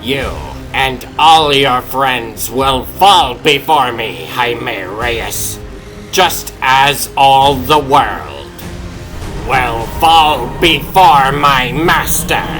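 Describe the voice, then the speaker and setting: A man playing a zealous, menacing servant of a dark master in a comic dub, declaiming with force. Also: medium pitch, lively intonation, extremely slow, faint music behind, full character voice. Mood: threatening. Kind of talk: dramatic comic dub acting.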